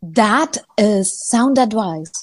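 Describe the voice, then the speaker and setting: A woman's voice: medium pitch, animated, very slow. A woman acting in an audio drama as an uncertain, earnest young heroine with a hesitant way of speaking. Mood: hesitant, curious. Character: Swan, uncertain woman with a strange special vision.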